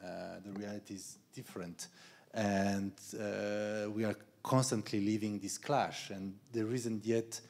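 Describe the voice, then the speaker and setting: Lower-voiced man moderating a panel. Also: fairly even intonation, unhurried, vocal fry, a little mellow. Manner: reflective, posing broad questions. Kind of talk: panel discussion. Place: event stage with panel tables, indoors. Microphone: handheld mic.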